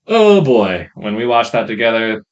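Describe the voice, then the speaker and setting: A man's voice: lowish voice, big pitch swings, normal speed, muffled recording. A man speaking casually as the co-host of a podcast. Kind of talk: casual chat.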